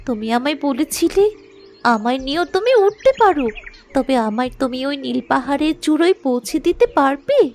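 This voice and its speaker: A woman's voice: high-pitched, expressive, normal speed, a little mellow. A woman voicing a brave, earnest young princess in a fairy-tale cartoon, speaking expressively. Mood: grateful, eager.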